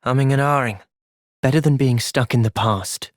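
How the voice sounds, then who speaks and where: A young man playing a thoughtful, earnest young soldier in video game cutscenes, speaking in a gentle, reflective manner. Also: medium pitch, normal speed, no room sound, clean studio sound, lightly acted. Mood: calm, reflective.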